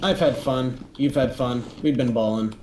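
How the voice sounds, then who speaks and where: A teenage boy chatting casually as co-host, rambling and animated with lots of fillers. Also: mid-range voice, moderate pace. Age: teens. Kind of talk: casual chat. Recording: echoey room, faint noise.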